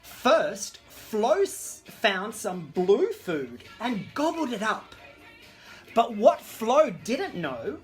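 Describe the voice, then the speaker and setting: Theatrical woman story host, very animated pitch. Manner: theatrical, camp, exclamatory. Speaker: children's story time host. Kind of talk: animated storybook reading to camera. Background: disco music.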